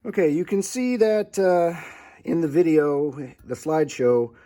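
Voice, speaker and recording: A man's voice: on the high side, lively intonation, unhurried, warm tone. A man talking informally through a hands-on restoration project, folksy and thinking aloud as he goes. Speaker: hobbyist restorer presenting own project. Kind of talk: casual hands-on restoration walkthrough.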